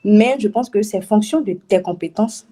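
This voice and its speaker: A woman's voice: mid-range voice, moderate pace, dark tone, a little hiss or hum. A woman who works as a web writer answers interview questions in a hesitant way, repeating words and laughing often.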